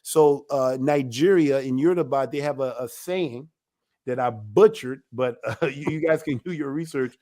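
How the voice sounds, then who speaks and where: A man, an elder of a ministry, giving a religious teaching talk in an emphatic, animated way. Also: medium pitch, normal speed. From United States.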